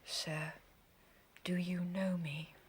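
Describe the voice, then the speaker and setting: An actress playing a tender, devoted princess in a classical audio drama, hushed, breathy and earnest. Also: female voice, lowish voice, little pitch movement, extremely slow, exaggerated voice. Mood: anxious. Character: tender, grieving princess, devoted daughter of a king.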